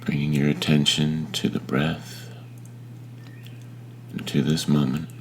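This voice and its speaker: A man leading a guided meditation in a gentle, unhurried manner with long pauses between phrases. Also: rumbling low, flat delivery, slight background noise. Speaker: meditation teacher.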